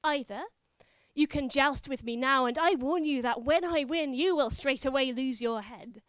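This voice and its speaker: Woman doing a stern knight, menacing, commanding, high voice, dry. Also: normal speed, no room sound, close to an everyday voice. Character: fierce wife disguised as a stern knight.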